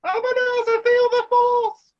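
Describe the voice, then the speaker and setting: A woman playing an excitable, bustling pantomime dame and mother, exclaiming broadly and theatrically over a video call. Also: very high-pitched, unhurried. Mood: triumphant. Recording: dull lo-fi video call audio.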